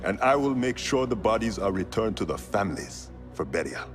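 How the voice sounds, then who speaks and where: A man's voice: lowish voice, expressive, brisk, faint music behind, close to an everyday voice. An actor playing a principled, sombre male rebel in a video game. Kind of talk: dramatic video game acting.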